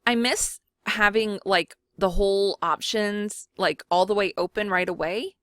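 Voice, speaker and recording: A woman's voice: medium pitch, fairly even intonation, a little slow, a bit bright, dry recording, clean studio sound. A woman from Mississippi chatting casually while she works on a digital scrapbook, rambling and self-deprecating with lots of fillers. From Mississippi, USA. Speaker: scrapbooking vlogger. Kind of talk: casual chat while working.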